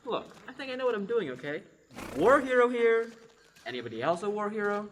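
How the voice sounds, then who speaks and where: A man's voice: high voice, very animated, brisk, a bit bright, slight background noise. Young man playing a swooping, blustering war hero. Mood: bossy, defensive. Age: young adult. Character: bossy, defensive, blustering male war hero. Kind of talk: amateur audio drama acting.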